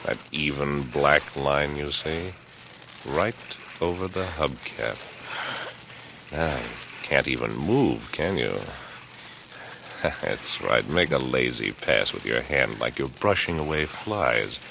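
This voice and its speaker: Cold, condescending killer, American, 1940s radio thriller. Rock-bottom pitch with lively, swooping inflection; taunting and calculating; faintly noisy vintage recording. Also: male voice, takes it slowly, vocal fry, full character voice. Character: coolly menacing older killer, smug, patronizing and calculating. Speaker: radio actor. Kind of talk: 1940s radio drama acting.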